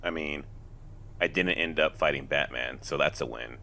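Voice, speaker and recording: An actor in an audio drama playing a young, earnest, mild and conflicted superhero who speaks in a measured way and halts under pressure. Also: male voice, extremely low pitch, vocal fry, pushed and bright, slight background noise, exaggerated voice. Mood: calm, musing.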